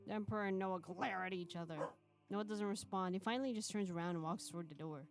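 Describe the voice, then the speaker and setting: A woman's voice: medium pitch, fast talker, music in the background, close to an everyday voice. A woman voicing an anxious young saintess who narrates her own story in a visual novel. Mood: narrating.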